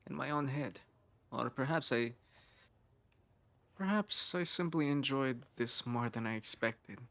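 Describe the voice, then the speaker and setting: Male actor as frail, driven young scientist for an intimate ASMR roleplay; earnest, musing, measured, mid pitch. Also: vocal fry, pushed and bright. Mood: softly amused.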